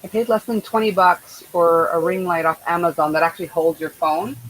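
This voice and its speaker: A woman in her forties, a guest on a crafting live stream, chatting in a friendly, lively way. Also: on the low side, a little fast, poor audio. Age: 40s. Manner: lively, laughs easily. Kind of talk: casual chat.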